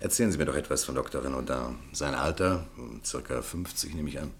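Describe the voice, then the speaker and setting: An actor playing an eloquent, shrewd and courteous male defence lawyer in a German radio play, rhetorical and emphatic. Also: very deep voice. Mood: measured, pensive. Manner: rhetorical, emphatic in court, dry and measured in private.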